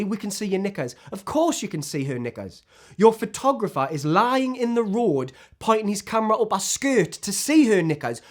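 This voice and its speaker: A man performing a dramatic screen monologue as a disillusioned, self-loathing celebrity actor, sardonic and emotionally exposed. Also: high-pitched.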